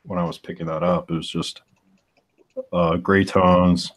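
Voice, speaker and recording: A man's voice: deep voice, flat delivery, unhurried. A man in his forties, a comic artist, chatting casually and thinking aloud as he searches for the right words. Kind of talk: casual chat on a live stream.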